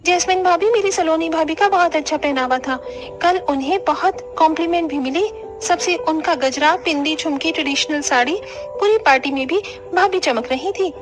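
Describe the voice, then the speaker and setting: Woman as a spirited, high-voiced young sister-in-law. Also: moderate pace, music in the background, low-quality recording. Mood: defending. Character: loyal, spirited young sister-in-law defending the new bride. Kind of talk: Hindi cartoon family drama.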